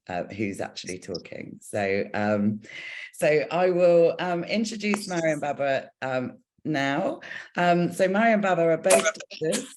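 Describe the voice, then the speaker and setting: A woman's voice: a bass voice, darkish tone. Hesitant woman hosting a video call. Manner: hesitant, many fillers and restarts. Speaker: host.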